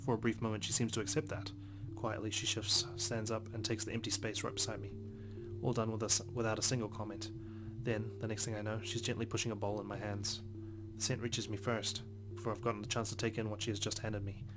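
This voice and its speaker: A man with a fairly low voice reads visual novel narration aloud as a let's play host. His delivery is measured and very flat in intonation, now and then tripping on a word, with loud plucked guitar music behind him. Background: prominent game music with plucked guitar.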